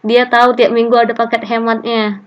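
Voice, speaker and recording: A woman's voice: on the high side, little pitch movement, fairly quick. A woman lecturer leading an online class discussion, reading student comments aloud with a chatty, joking manner. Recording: muffled lo-fi online call audio.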